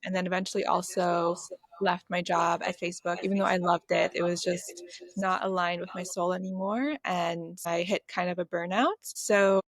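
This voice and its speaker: A woman's voice: fairly low pitch, steady tone, moderate pace. A woman in her thirties, a podcast guest talking in an earnest, thoughtful way with some hesitations. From United States (Chicago). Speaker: guest, workshop facilitator. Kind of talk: reflective podcast conversation.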